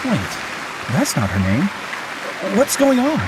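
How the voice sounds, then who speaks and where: A man's voice: sits high, huge pitch swings, slow pace, crowd noise, noisy background, exaggerated voice. A man voicing a curious theatregoer asking puzzled questions after a show, in a game. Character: curious audience member.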